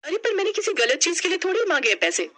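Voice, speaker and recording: A woman's voice: very high voice, sing-song, fast talker, a bit bright, voice pushed up, full character voice. A woman voicing a young, emotional newlywed daughter-in-law in a family story. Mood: pleading, indignant. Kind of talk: animated storybook acting.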